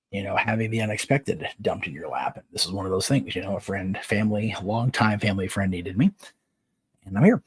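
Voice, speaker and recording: Man, deep voice, breathy tone, hushed rambling chat, live stream host. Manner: talks softly to keep quiet, meandering with many fillers. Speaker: host of his own online show. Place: indoors, away from home on a trip.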